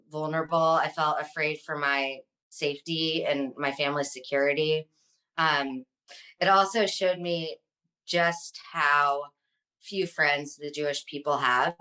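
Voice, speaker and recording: A woman's voice: deep voice, pushed and bright, rough recording. A woman speaking as an interview guest, answering earnestly in a conversational way. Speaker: interview guest, online advocate and influencer.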